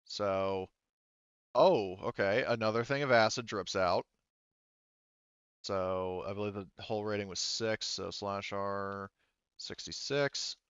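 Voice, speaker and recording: Male game master, deep-ish and clean-toned, halting and casual, close dry mic with slightly dull sound. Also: steady tone, fairly bright tone, clean tone, dry recording. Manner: halting, thinking aloud, casually profane. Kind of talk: casual rules talk and narration at an online tabletop game.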